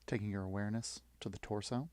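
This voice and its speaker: Male meditation guide, fairly low voice, reassuring delivery in short spaced phrases, recorded very dry. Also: steady tone, a little mellow, no echo. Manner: soothing, encouraging, with long pauses. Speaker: yoga nidra guide.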